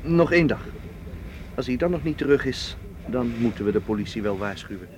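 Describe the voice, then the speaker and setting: A man's voice: medium pitch, expressive, fairly quick, a little mellow, a little hiss or hum, close to an everyday voice. A man acting in a Dutch radio play as a seasoned, cautious and protective spy who explains things firmly. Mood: stern, cautioning. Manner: explanatory, firm.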